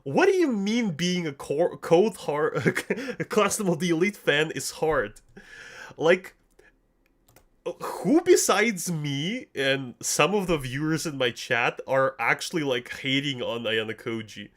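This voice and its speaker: A man's voice: fairly high pitch, lively intonation, slow pace, a bit bright. A young man from Russia with a slight Russian accent, chatting casually on a livestream in a joking, slangy, self-deprecating way. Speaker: anime commentary streamer. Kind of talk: casual livestream chat, answering viewers.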